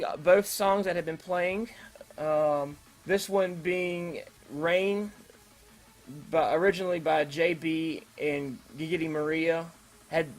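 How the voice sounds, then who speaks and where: A man making casual, unscripted announcements as host, rambling and hesitant, often rephrasing himself. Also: fairly high pitch. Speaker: host of an online creative group's announcement video. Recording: music playing behind the voice. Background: electronic dance remix music.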